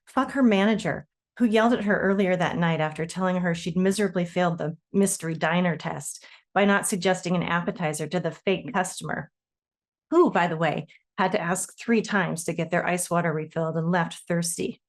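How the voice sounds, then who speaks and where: This is a woman with a voice a little lower than most. She is an author at a literary reading, presenting her own work of fiction in her own voice. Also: a little fast. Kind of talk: reading own novel aloud, with informal introduction.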